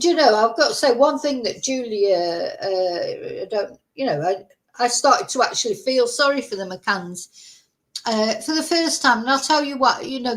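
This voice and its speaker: A woman's voice: on the high side, low-quality recording. A woman hosting a true crime livestream. She reads a news article aloud and keeps breaking off for chatty asides and self-corrections. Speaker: true crime stream host. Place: livestream at home.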